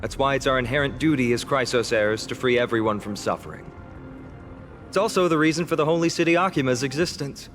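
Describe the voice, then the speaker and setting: An actor playing a kindly, upbeat young hero in a video game, explaining things in a friendly, reassuring way. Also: male voice, medium pitch, brisk, fairly bright tone, lightly acted. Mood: earnest. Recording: music behind the voice. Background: music.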